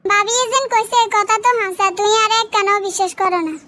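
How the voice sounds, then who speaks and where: Very shrill, nagging cartoon wife. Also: female voice, fairly even intonation, fast, sharp tone, slight background noise. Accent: Noakhali Bangladeshi. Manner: nagging, insistent. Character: gullible, demanding young cartoon wife, quick to complain. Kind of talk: comedy cartoon dialogue.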